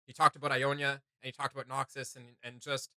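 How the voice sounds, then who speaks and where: A man co-hosting a casual reaction video, talking in an animated, rambling way and searching for words aloud. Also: medium pitch, fast talker. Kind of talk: casual rambling discussion to viewers.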